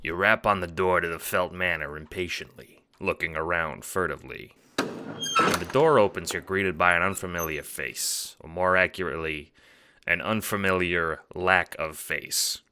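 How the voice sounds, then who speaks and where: A man's voice: deep voice, normal speed, noisy background. A man reading scripted second-person narration for an audio drama, as its narrator.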